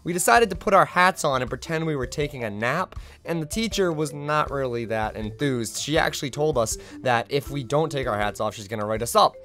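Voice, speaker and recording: Hoarse young man telling school stories. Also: mid-range voice, animated, brisk, few pauses, a bit bright, soft background music. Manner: chatty, self-deprecating storyteller. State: hoarse, recovering lost voice. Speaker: vlogger. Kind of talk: casual storytime monologue.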